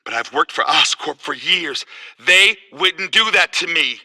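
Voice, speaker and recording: Fretful, bright-voiced insecure engineer. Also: male voice, on the high side, expressive, measured pace, exaggerated voice. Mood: hurt, anxious. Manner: fretful, self-soothing muttering. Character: naive, insecure male engineer, overlooked and desperate for notice.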